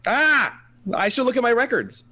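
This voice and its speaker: Casting: man as obliging, flustered French colonel, radio play, high and lively voice, hesitant and fumbling. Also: moderate pace, no room sound, exaggerated voice. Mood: flustered, searching. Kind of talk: amateur radio play reading.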